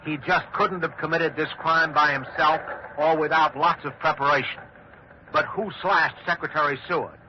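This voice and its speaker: A man playing an earnest studio newsman in a 1940s radio drama, delivering bulletins gravely and emphatically. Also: a little high, natural voice. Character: earnest male studio newsman handling breaking news. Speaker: radio news anchor. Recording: muffled, hissy 1940s radio recording.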